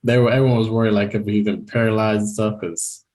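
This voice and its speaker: A man's voice: fairly low pitch, steady tone, quick pace, a little mellow, rough recording. Chatty laughing young man co-host. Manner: chatty, laughs often, many fillers. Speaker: podcast co-host. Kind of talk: casual chat.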